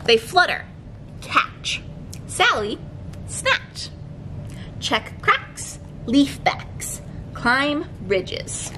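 A young woman with a fairly high voice reads to little children as a storytime librarian. She takes her words very slowly, phrasing them deliberately and articulating each one. A light low rumble sits behind her in the library room. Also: big pitch swings, bright, forceful tone. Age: young adult. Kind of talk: read-aloud picture book storytime for young children. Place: library room with bookshelves, indoors.

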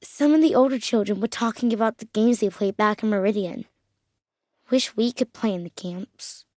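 An actress voicing a worried, hungry refugee child in a video game, talking plainly and anxiously. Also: female voice, mid-range voice, normal speed, darkish tone, no echo, studio quality, natural voice. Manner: anxious, wondering, plain-spoken. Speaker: video game background character.

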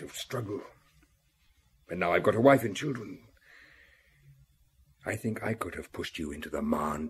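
A middle-aged family man in a radio play, weighed down by guilt. His voice is low and badly roughened, and he confesses in a grave, halting way. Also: exaggerated voice. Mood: desperate, strained. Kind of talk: radio drama acting.

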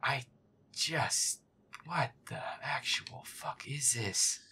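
A man playing a feisty werewolf boyfriend who grumbles and swears sarcastically through a scary video game in a breathy, whispery voice. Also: mid-range voice, very slow, sharp tone, a little hiss or hum, exaggerated voice. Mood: annoyed muttering. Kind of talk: gameplay roleplay, muttered reactions.

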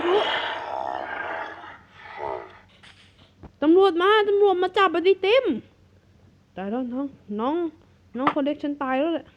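A man doing a squeaky panicky cartoon thief. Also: muffled recording, a little hiss or hum. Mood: frantic. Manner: frantic, shouts warnings to his little brother. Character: squeaky young male cartoon thief, panicky big brother. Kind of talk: cartoon voice acting.

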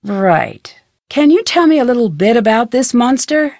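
A young female sleuth from an adventure video game. Her voice sits fairly high and is recorded close and dry. She speaks politely and clearly, with an inquisitive turn to her scripted lines. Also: very animated, normal speed, a little mellow, dry recording. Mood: curious, polite. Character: curious, polite young female amateur detective. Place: studio.

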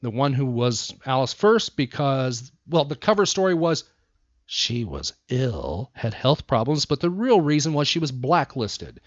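A man's voice: mid-range voice, very animated, measured pace, dry recording. An American man hosting a casual trivia chat, asking questions and explaining facts in short phrases. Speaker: podcast host and trivia quizmaster. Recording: slightly dull, lo-fi sound.

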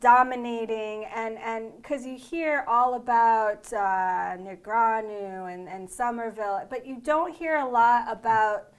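Chatty New woman, bright voice. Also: on the high side, measured pace. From New York. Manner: chatty, restarts sentences often. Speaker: co-host. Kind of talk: casual panel discussion. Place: open-plan office, indoors.

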